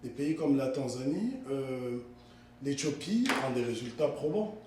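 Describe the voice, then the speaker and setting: A man from the Republic of the Congo, a guest giving interview answers, talking in a measured, corrective way that gains force when he argues. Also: mid-range voice, animated, mellow. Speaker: guest, historiographer and writer. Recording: echoey room, mic far off, faint noise.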